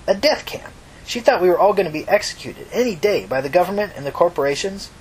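A man's voice: sits high, expressive, fast talker, slightly rough, pitched above the performer's own voice, poor audio. A man voicing a kindly, talkative old mother from New York in a story reading, folksy, reflective and reassuring. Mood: earnest, grieving. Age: older.

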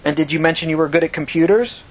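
A tough woman amateur sleuth, read by a man, pressing and confrontational, with a husky edge. The voice sits fairly high for a man and has the hard, blunt delivery of an audiobook interrogation. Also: fast talker, lightly acted. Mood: insistent. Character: tough, angry, foul-mouthed woman interrogating as amateur detective.

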